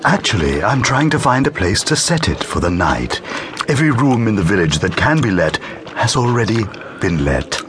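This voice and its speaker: Courteous gentleman, swooping intonation. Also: lowish voice, big pitch swings, normal speed, noisy background. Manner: polite, genteel. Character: courteous gentleman stranger in silk top hat.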